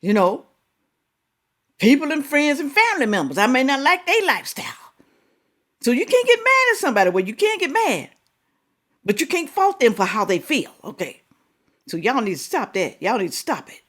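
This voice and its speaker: A woman hosting a reaction video, talking casually, scolding and animated with drawn-out exclamations. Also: sits high.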